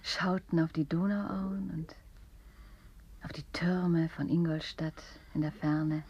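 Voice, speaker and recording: A woman's voice: low-pitched, steady tone, slow pace, warm tone, dull audio, a little hiss or hum. An actress playing a worldly, tragic barfly woman reliving lost love, wistful and theatrical, in a radio drama. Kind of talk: German radio drama acting.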